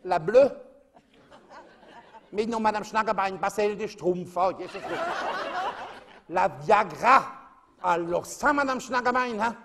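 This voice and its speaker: A man's voice: very high voice, a bit bright. A man playing a chatty middle-aged Alsatian housewife who gossips and confides on the phone, in a comic monologue.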